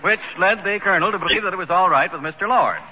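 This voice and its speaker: High-pitched vintage radio announcer, man. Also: quick pace. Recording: noisy, muffled 1930s radio recording.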